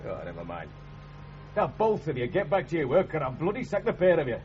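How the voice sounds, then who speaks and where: An actor playing a bullying, sneering foreman with a gruff, bossy manner and a Northern English accent in a 1970s radio drama. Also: on the high side, huge pitch swings, brisk. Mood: sneering, taunting. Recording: dull, hissy 1970s radio broadcast.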